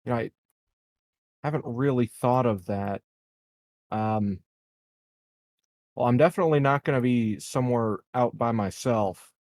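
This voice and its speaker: A man with a mid-pitched voice talks as a player in a tabletop game. He is casual and hesitant, working out his choices aloud. The sound is dry, with no room in it. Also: unhurried, pauses often, darkish tone, dry recording. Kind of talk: casual chat.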